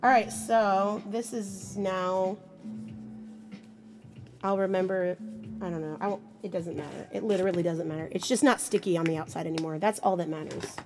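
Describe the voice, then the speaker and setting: A hoarse woman with a husky voice, sick with a chest illness, rambling offhandedly while crafting on a live stream. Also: mid-range voice, steady tone, normal speed, faint music behind. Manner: rambling, offhand, self-deprecating. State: hoarse, sick with bronchitis and pneumonia. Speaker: mixed media artist streaming live. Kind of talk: casual livestream chat while crafting.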